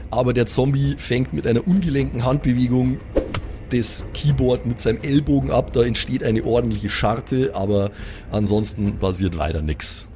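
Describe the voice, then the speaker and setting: A man leads a pen and paper game as its narrator. He improvises the story in colloquial, dramatic fashion at a mid-range pitch, with faint music behind him. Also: darkish tone. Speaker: game master.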